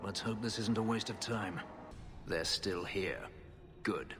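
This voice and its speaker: In a long, echoing space with noise behind, a bitter elf fugitive from a fantasy game. The voice is low and forceful, with grave, terse, scornful delivery. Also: male voice, slow pace, soft background music. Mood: grim. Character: bitter, brooding male elf, escaped slave warrior. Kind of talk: video game voice acting. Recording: long reverb, noisy ambient background.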